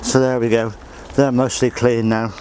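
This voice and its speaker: A man's voice: mid-range voice, flat delivery, normal speed, a little mellow, slight background noise, low-quality recording. A middle-aged man, casually showing how he does a garden job while he works, outdoors on an allotment. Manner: plain, practical, talks while working.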